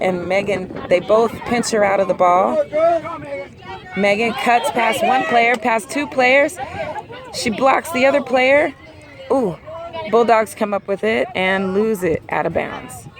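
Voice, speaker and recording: A woman doing play-by-play of a youth soccer game outdoors, hoarse and losing her voice. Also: mid-range voice. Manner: rapid play-by-play, rising excitedly at key plays. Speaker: amateur sideline commentator. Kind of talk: play-by-play commentary. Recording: outdoor crowd and traffic noise.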